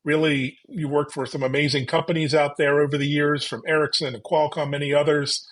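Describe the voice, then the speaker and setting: A man's voice: a little high, level tone, brisk, a bit bright. A man hosting a tech interview show, introducing his guest and asking questions in an upbeat, effusive manner. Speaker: podcast host.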